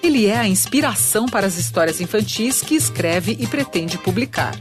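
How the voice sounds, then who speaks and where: A woman reads documentary narration in an even, measured way. Clear background music plays under her voice throughout. She paces each sentence as a prepared script. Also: medium pitch.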